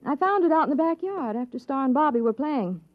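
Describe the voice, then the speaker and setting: Easygoing American suburban mother, expressive and fairly high, dry muffled 1950s radio sound. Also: fairly quick, no room sound. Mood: chatty, curious. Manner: chatty, easygoing. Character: friendly, unworried suburban housewife and mother. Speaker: radio drama actor. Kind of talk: 1950s radio drama acting. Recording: muffled vintage radio recording.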